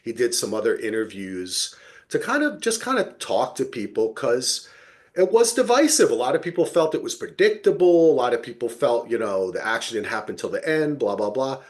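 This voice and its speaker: A man hosts a casual podcast. His mid-pitched voice moves up and down a great deal. He talks informally and with enthusiasm, as in a relaxed chat. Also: normal speed. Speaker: podcast host.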